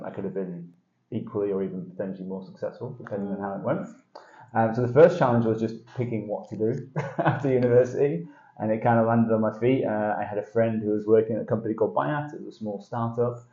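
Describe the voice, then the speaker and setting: A man in his forties with a northern English, talks fast and chatty in a low, mellow voice. He is self-deprecating and often restarts his sentences. The sound is dull and lo-fi, in an echoey room. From northern England. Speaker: guest, sales director. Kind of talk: casual interview conversation.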